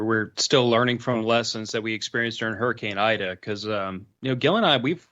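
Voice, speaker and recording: A man's voice: on the low side, a bit bright, dull audio. A middle-aged man explaining his experiences casually, in a frank, folksy way. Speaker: podcast guest, prepper.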